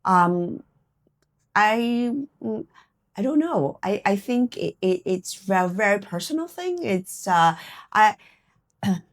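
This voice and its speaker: A woman's voice: mid-range voice, very animated, slow. A middle-aged woman from Canada, born in Hong Kong, chatting as a podcast guest in a reflective way, often checking in with "right?" and "you know". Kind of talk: casual personal interview chat.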